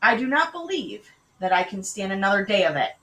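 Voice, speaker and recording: A woman's voice: medium pitch, big pitch swings, brisk, bright, forceful tone, poor audio. Woman as a weary, exasperated storybook mother. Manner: weary, reproachful. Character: exasperated, calm-voiced mother of quarrelling twin girls. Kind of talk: children's storybook read aloud.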